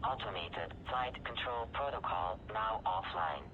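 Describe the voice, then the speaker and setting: A man's voice: medium pitch, animated, a little slow, highs cut off, crowd noise. A man voicing a spaceship computer, giving formal, clipped flight status announcements through band-limited, radio-like game audio. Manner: formal, procedural.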